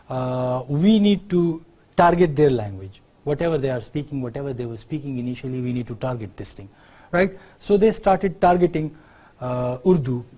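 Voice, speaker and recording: Pakistani guy lecturing, mellow slightly high voice, lots of uh and right?. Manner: unscripted explaining, many fillers, tag questions like "right?". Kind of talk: university lecture.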